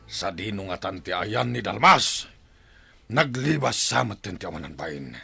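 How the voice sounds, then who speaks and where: Male actor as villainous chieftain, very gravelly, forceful, snarling, defiant, radio drama. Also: on the low side, animated, unhurried, faint music behind, heavily acted. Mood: angry.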